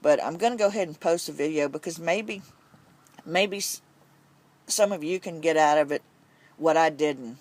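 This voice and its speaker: A man's voice: a little high, normal speed. A man addressing his viewers, frustrated, repeating himself as he restarts his sentences. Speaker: video poster addressing viewers. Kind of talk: frustrated personal commentary.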